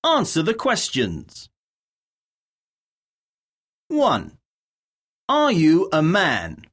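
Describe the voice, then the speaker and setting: Female lesson narrator, extremely slow delivery, husky edge, pitch moving widely between deliberate scripted phrases. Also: wildly swooping pitch. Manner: deliberate, enunciated reading with pauses between items. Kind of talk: scripted English lesson narration, reading words and dictation.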